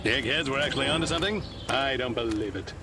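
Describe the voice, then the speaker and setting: An actor playing a stiff, skeptical army subordinate in a video game, hesitant and incredulous. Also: male voice, mid-range voice, fast talker, over music, lightly acted. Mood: unsure, disbelieving. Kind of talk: video game cutscene acting.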